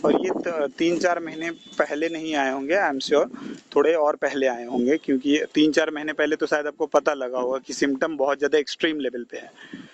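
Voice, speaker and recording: Male health advisor, Indian accent, slightly higher-pitched, reassuring and conversational, on a recorded phone call. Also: steady tone, moderate pace. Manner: reassuring, code-mixing English terms into advice. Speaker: health advisor giving IBS guidance. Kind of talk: casual phone consultation, advising.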